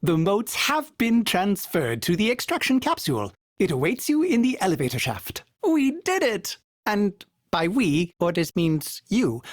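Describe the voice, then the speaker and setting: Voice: medium pitch, huge pitch swings, a little slow, no room sound, clean studio sound. An actor voicing a cheerful, fussy male ship AI who speaks formally, calls himself by name and stutters with glitchy repeats. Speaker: ship companion AI. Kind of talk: video game voice lines.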